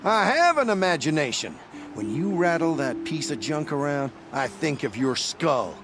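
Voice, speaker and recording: A man's voice: fairly high pitch, sing-song, normal speed, over music. An actor playing a blunt, grumbling male scrap-metal dealer with an irritable manner in a video game. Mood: irritated.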